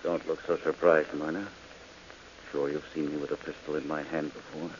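Casting: cold, calculating husband in vintage American radio drama, deep voice, noisy dull recording. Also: male voice. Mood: cold menace. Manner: calm, menacing, deliberate. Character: cold, smug husband threatening to kill his wife. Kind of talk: 1940s radio acting.

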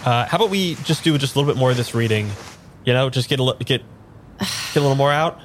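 An actor playing an eager, pushy man without his memory in a naturalistic improvised audio drama, halting and repeating himself. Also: mid-range voice, slight background noise, natural voice. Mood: evasive, coaxing. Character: eager amnesiac man, pushy, boyishly enthusiastic tarot querent.

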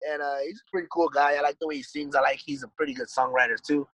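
A laid-back man with a slightly high voice, rambling through casual talk and dropping swear words and "dude" as he goes. He is recorded on a dry, close microphone. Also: steady tone, very fast, fairly bright tone, no room sound, poor audio. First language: English. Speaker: musician guest. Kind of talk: casual conversation.

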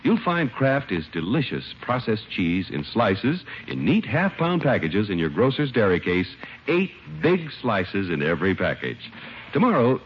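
Very animated old-time radio announcer man. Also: lowish voice, slight background noise. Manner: polished, emphatic, upbeat salesmanship. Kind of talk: 1950s radio advertising and scripted narration. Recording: dull old radio recording. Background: music.

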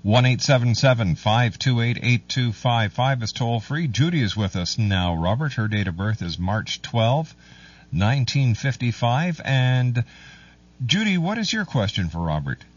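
A man hosting a call-in radio show, polite and brisk, calling callers dear. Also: medium pitch, very animated, husky. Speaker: radio host. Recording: slightly distant, dull radio sound.

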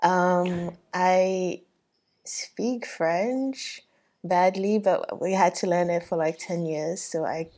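A woman's voice: on the low side, slow, dark tone. A woman from Ghana in casual, self-deprecating conversation. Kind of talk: casual chat.

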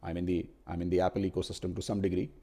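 Male co-host, Hindi first language, low mellow voice, thoughtful storyteller who restarts a lot. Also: level tone, very fast. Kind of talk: casual tech discussion.

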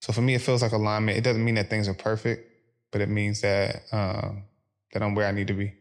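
A man from Philadelphia, speaking as a podcast guest in a calm, measured way with frequent pauses. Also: on the low side. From Philadelphia, USA. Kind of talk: reflective interview conversation.

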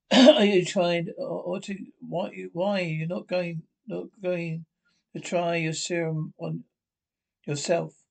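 A man's voice: high voice, level tone, slow pace, vocal fry, heavily acted. A man playing a timid, reluctant middle-aged brother in a radio play, hesitant, stammering and anxious. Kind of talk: radio play script read aloud.

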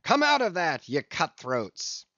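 A man's voice: a little high, wildly swooping pitch, takes it slowly, sharp tone, dry recording, voice pushed up, full character voice. A man voicing a furious ship captain who roars commands, in a dull, dry recording. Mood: roaring, commanding. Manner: roaring, commanding.

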